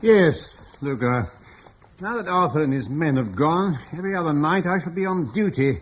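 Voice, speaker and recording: A middle-aged male commander, voiced by a man in a radio play. He speaks with calm, reassuring authority, and his voice moves up and down freely. A faint hiss sits under the old recording. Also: on the high side, moderate pace, close to an everyday voice. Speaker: husband and local guard commander. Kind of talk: radio drama acting.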